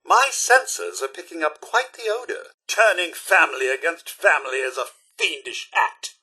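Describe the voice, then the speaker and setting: An actor playing a fussy, polite and loyal robot butler in a video game, with a British accent and formal, prim, theatrical delivery. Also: male voice, on the high side, sing-song, normal speed, bright, forceful tone, husky, full character voice. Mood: indignant, reproachful. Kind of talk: video game companion dialogue.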